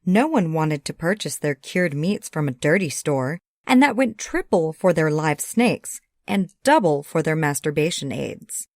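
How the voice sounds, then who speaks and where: A woman's voice: deep voice, lively intonation, measured pace, few pauses, no room sound, clean studio sound. A woman reading a comic novel as a scripted audiobook narration.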